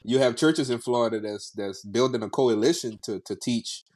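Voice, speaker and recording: An American man hosting a casual podcast interview, speaking in an animated way and often repeating words as he starts sentences. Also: medium pitch, normal speed. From United States. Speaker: podcast host.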